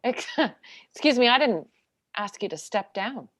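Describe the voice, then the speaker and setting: Woman acting a needling defense lawyer, lively pitch. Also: a little slow. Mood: defiant. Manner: pointed, insistent questioning. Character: dogged, needling military defense lawyer cross-examining a witness. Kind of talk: dramatic script reading.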